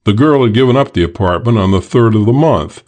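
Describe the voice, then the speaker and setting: A man's voice: fairly low pitch, expressive, brisk, darkish tone. A man reading a first-person detective story as an audiobook narrator.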